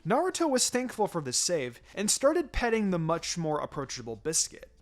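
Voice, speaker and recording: A man reading fan fiction aloud as narrator, in an expressive storytelling style. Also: high-pitched, normal speed, faint music behind.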